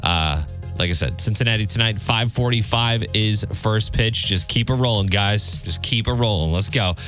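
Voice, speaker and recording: American male radio co-host, lowish voice, casual banter and sports updates over faint music. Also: moderate pace, a bit bright. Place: radio studio.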